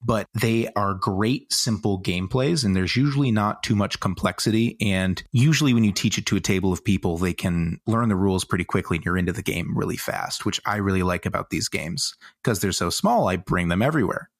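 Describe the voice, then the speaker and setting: A man's voice: low-pitched, fairly quick, hardly any pauses, dry recording, studio quality. A man co-hosting a casual podcast, explaining things in an eager, descriptive way. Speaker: podcast co-host.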